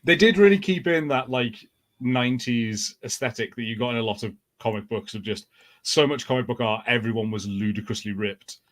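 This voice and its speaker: Male podcast co-host, mid-pitched, relaxed conversational chat. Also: fairly quick.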